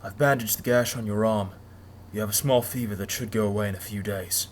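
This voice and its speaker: A woman voicing a calm, protective male refugee in a story reading, reassuring and plain-spoken. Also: a bass voice, a little fast, slight background noise, voice pushed down.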